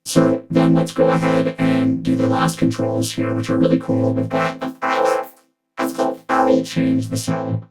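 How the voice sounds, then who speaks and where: A man's voice: normal speed, long pauses, loud music behind the voice, rough recording. A man speaking through a vocoder as a friendly synthesizer robot, with a synthetic, chord-toned voice in a casual demo. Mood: admiring, demonstrative. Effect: vocoder robot filter.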